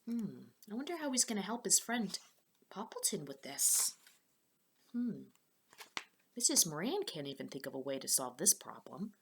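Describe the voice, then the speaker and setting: Bright, animated woman reading to children. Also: fairly low pitch, normal speed, gravelly, pauses often, a little hiss or hum. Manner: expressive, addresses young listeners directly. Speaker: teacher reading aloud to children. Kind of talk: children's storybook read-aloud with asides.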